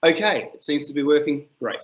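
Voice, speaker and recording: Man, new zealand accent, teacher presenting over a video call, relaxed and filler-heavy, muffled audio. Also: a little high, fairly quick. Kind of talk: casual webinar presentation.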